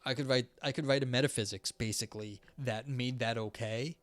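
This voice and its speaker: A man's voice: medium pitch, steady tone, brisk, fairly bright tone, no echo. Rambling young man streaming, voice tiring. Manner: rambling, many fillers and restarts. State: voice going hoarse. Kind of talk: casual live-stream chat.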